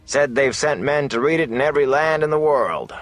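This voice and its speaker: A man voicing a chatty, excitable middle-aged innkeeper with folksy, gossipy talk in a fantasy audiobook. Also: medium pitch, fairly quick, soft background music, voice pushed up, close to an everyday voice. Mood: boastful, gossipy. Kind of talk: fantasy audiobook character dialogue.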